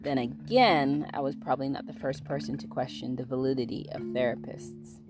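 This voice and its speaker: Low-voiced woman reading her story. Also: a little fast, over music. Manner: casual, relaxed intro, then steady storytelling. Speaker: podcast host and author. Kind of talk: reading her own short story aloud.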